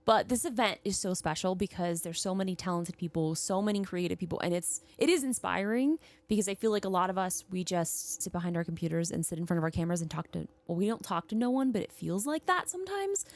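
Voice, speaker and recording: Upbeat, fast-talking young woman. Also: on the low side, lively intonation, darkish tone. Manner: enthusiastic, upbeat, quick asides. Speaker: podcast co-host. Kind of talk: casual chat. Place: podcast studio at an event venue. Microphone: studio podcast microphone.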